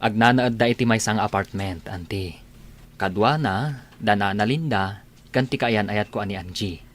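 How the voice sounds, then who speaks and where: A man's voice: on the low side, moderate pace, close to an everyday voice. A man playing a wary, respectful young nephew in a radio drama. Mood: wary, polite. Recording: noisy, background ambience.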